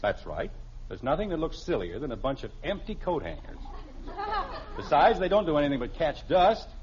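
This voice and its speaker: A man's voice: a little high, very animated, normal speed, a crowd in the background. An American actor playing a stubborn, pompous middle-aged family father in a 1950s radio comedy, defensive and insistent. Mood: smug, matter-of-fact. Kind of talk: 1950s radio sitcom acting. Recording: noisy, muffled early 1950s radio recording.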